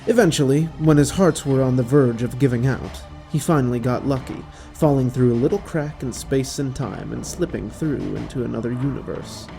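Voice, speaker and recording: A man narrating a scripted audio drama as a measured, dramatic storyteller. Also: mid-range voice, vocal fry, warm tone, faint music behind, poor audio.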